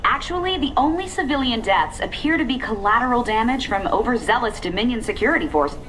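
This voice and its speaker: Animated, high-voiced news reporter. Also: female voice, faint music behind. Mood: politely correcting. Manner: brisk, matter-of-fact. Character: earnest, composed female television field reporter. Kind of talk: news report in game cinematic.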